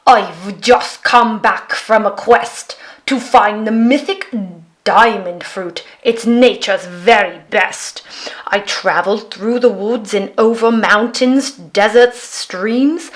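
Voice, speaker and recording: A storybook Viking adventurer, played by a woman, declaims his tale loudly. The delivery is slow and weighty, with words stretched out. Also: medium pitch. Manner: loud, declaiming. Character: glum, grumpy male Viking adventurer who bakes. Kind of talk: children's picture book read aloud.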